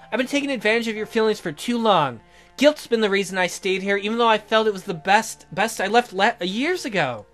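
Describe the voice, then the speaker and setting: A man's voice: very high-pitched, fairly bright tone, voice pushed up. A man voicing a tender, guilt-ridden young woman from a romance visual novel, speaking in an earnest, pleading way.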